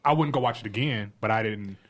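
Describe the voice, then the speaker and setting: Fast-talking man in casual chat. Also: lowish voice. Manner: slangy, informal. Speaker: podcast panelist.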